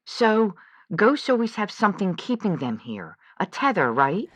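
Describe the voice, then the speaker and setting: A woman's voice: mid-range voice, very animated, unhurried, no echo. A woman playing an earnest, lovelorn and anxious caller in a radio drama, speaking hesitantly and with open feeling. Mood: earnest, fond. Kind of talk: scripted audio drama.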